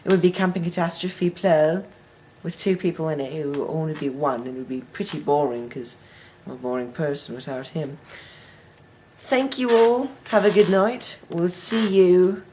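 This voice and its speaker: A man's voice: on the high side, steady tone, moderate pace, darkish tone, a little hiss or hum, rough recording. A teenage boy chatting playfully in a put-on British accent, joking his way through a mock interview. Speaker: co-host.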